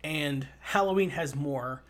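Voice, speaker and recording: A man's voice: a little high, a little slow. A man co-hosting a podcast, chatting casually about a film, with fillers and casual swearing. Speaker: podcast co-host.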